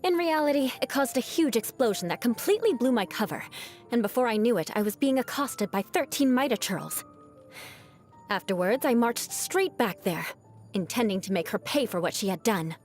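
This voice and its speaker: Woman doing a snooty young noble lady knight, video game lines, formal n composed, grudgey but secretly soft, music behind her. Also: a little high, expressive, normal speed, lightly acted. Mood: exasperated, reluctant. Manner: haughty, composed, formal diction, sighing disdain.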